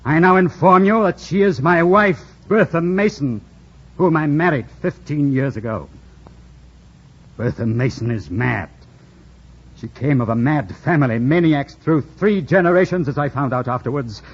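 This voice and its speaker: An actor playing a proud, bitter, commanding gentleman in anguish, imperious and theatrical in an old radio drama style. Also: on the high side, moderate pace. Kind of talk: 1940s-50s radio drama acting. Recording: dull, noisy old radio broadcast recording.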